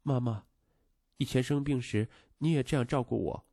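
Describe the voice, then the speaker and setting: A sad, timid young boy from an audio story, as a male narrator puts him on. He speaks hesitantly and tenderly, weighed down by guilt. The voice is slightly husky and closely recorded. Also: medium pitch, normal speed, dark tone, no room sound, clean studio sound, close to an everyday voice.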